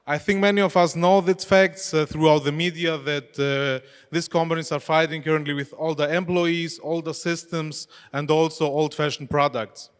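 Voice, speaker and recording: A man's voice: a little high, fairly even intonation, normal speed, hardly any pauses, smooth. A man whose first language is Greek, giving a chatty conference presentation on stage and putting questions to the audience in loosely phrased English. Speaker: conference speaker, head of e-commerce. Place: expo hall stage. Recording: long reverb of a large hall.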